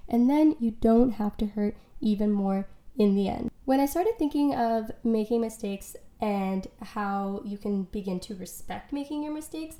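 A woman's voice: medium pitch, mellow. A woman hosting her own podcast, talking earnestly through ideas and quotes, her voice a little worn from being unwell. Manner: earnest, explanatory, quotes and examples. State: recovering from lost voice. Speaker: podcast host, life coach. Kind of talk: solo podcast talk. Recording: echoey room.

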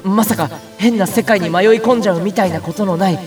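A man acting an ordinary, excitable young big-brother type in a Japanese audio drama, chatty and comically flustered, with first-person narration. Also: high-pitched, fast talker, distant mic, soft background music, close to an everyday voice. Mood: uneasy narration.

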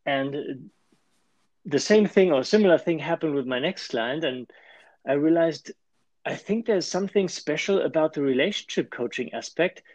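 A man's voice: fairly high pitch, lively intonation, moderate pace, a little mellow, dry recording. A man with a German accent, a coach talking as a guest in a podcast interview and explaining his methods with frequent restarts. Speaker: life and performance coach, guest.

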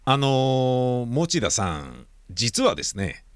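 A man's voice: medium pitch, sing-song, slow, no room sound, clean studio sound. A man playing a courteous, reserved father who speaks formally in an audio drama.

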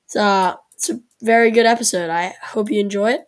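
A woman's voice: mid-range voice, fairly even intonation. Congested young woman hosting a podcast. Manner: chatty, halting with fillers. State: sore throat, congested. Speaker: podcast host. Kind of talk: casual podcast chat.

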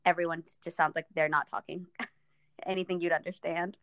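A woman's voice: fairly low pitch, little pitch movement, moderate pace, fairly bright tone, dry recording. A young woman from Ethiopia, a podcast guest chatting casually and thoughtfully, with frequent fillers.

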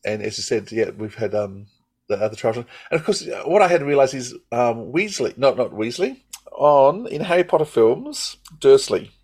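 A man with a husky, slightly rough voice of medium pitch co-hosts a casual podcast. He talks hesitantly, circling back over his phrases as he thinks aloud. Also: big pitch swings, moderate pace, a little mellow. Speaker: podcast co-host.

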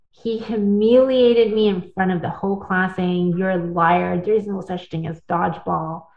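Woman guest, a little low, earnest storyteller; echoey, phone-thin line. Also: dull audio. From born in the United States, partly raised in Taiwan. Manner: earnest, storytelling, with restarts and tag questions. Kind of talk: casual interview talk.

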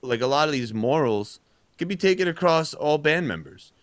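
A man's voice: fairly high pitch, animated, moderate pace, dry recording. A man co-hosting a casual talk show, rambling and chatty with lots of fillers. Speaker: podcast co-host. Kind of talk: casual chat.

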